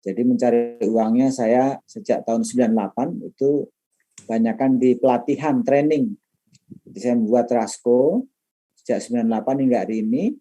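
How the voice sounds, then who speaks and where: A man's voice: medium pitch, moderate pace, warm tone, rough recording. A man, a lecturer and trainer talking informally and chattily to his class. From Madiun, East Java, Indonesia. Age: middle-aged, late 50s. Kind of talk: informal class introduction, chatty autobiographical talk.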